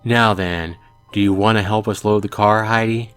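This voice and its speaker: A man reading a visual novel aloud, voicing a cheerful, teasing father in a relaxed, joking way. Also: on the low side, normal speed, clean tone, soft background music, natural voice. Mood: easygoing. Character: easygoing cheerful dad, teasing.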